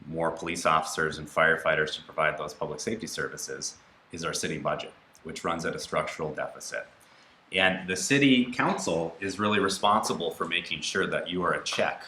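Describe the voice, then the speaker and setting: Brief: American male candidate, very low pitch, forceful bright edge, roomy studio. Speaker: city council candidate. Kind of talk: candidate forum answer. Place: local TV studio. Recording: roomy studio, faint background noise.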